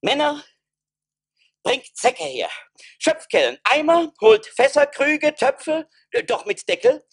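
An actress playing an eager, foolish townsperson in a radio play, giving emphatic orders in short bursts. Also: female voice, sits high, very animated, slow pace, exaggerated voice. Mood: eager, bossy. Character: eager, foolish townsperson bossing a daft scheme.

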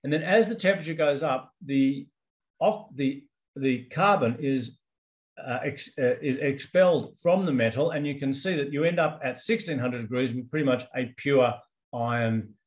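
Australian man giving a relaxed webinar talk. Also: medium pitch, moderate pace. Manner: conversational, frequent ums and restarts. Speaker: company executive presenting research. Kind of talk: webinar presentation and Q&A. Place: indoors, office with branded backdrop. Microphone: video call.

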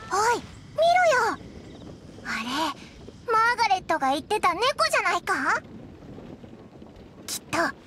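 A woman voicing a small, excitable fairy companion in an anime game, childlike and brash with rough boyish wording. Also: unhurried, long pauses, a little hiss or hum, heavily acted. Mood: excited. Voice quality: squeaky, childlike.

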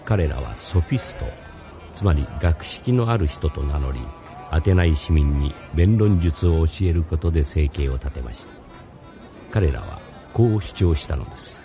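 A man's voice: a bass voice, expressive, moderate pace, over music. A man playing a mysterious, courteous philosophy teacher, narrating in a scripted radio drama. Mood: solemn, explanatory.

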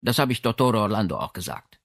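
A man's voice: lowish voice, expressive, fast talker, creaky, a bit bright, no room sound, studio quality. A man voicing a haughty, imperious lady with a condescending, commanding way of speaking in an audiobook. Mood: proud. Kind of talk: audiobook character dialogue.

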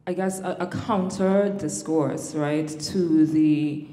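A woman's voice: low voice, steady tone, slow, warm tone. A woman in her thirties with a Trinidadian accent, speaking as an academic panelist in a thoughtful way, with many fillers and restarts. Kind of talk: panel discussion. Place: large hall on stage. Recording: echoey hall with long reverb.

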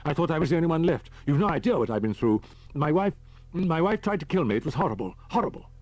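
A man's voice: big pitch swings, quick pace, a little mellow, dry recording. A man voicing a frightened, unhinged middle-aged man in an audiobook, pleading nervously and brokenly, with a strained, stammering delivery. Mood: nervous, frantic. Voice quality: high-pitched, strained. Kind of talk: audiobook character voice.

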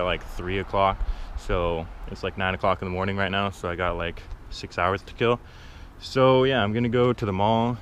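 A young man talks to a camera held at arm's length, low-voiced and easygoing, with filler words everywhere. Clear street noise sits behind him. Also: fairly bright tone. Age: young adult. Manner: rambling, filler-heavy, easygoing. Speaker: vlogger. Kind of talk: casual vlog to camera. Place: outdoors on a city street; inside a parked car. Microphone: handheld camera at arm's length.

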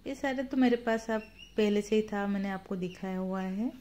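A woman's voice: medium pitch, normal speed, dark tone, a little hiss or hum. A woman giving a casual tour of her home garden, chatting unhurriedly while filming her plants on a phone outdoors. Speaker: gardening vlogger.

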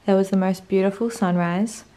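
A woman's voice: mid-range voice, fairly even intonation, normal speed, dark tone. Bubbly young woman in an echoey room. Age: 20s. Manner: bubbly, giggly, chatty. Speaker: travel vlogger. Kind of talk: casual vlog chat to camera. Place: holiday rental house, indoors. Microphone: handheld camera at arm's length.

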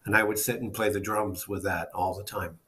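Man, fifties, fairly low voice, halting, casual storytelling, home video call. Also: fairly even intonation, pushed and bright. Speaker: guest jazz bassist. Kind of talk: casual storytelling in a panel interview. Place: small room at home, indoors. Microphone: laptop or webcam video call.

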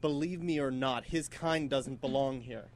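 A man's voice: mid-range voice, unhurried, a little mellow, a little hiss or hum, natural voice. A man acting a menacing, jealous college rival in a live student radio play, taunting and threatening. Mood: spiteful. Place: small college radio studio.

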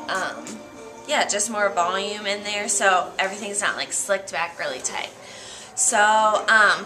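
A woman's voice: medium pitch, low-quality recording. Easygoing young American woman, music behind. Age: young adult. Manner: informal, loosely phrased, easygoing. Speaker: hair stylist giving a tutorial. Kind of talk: casual how-to demonstration. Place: hair salon, indoors. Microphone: camera mic a short distance away.

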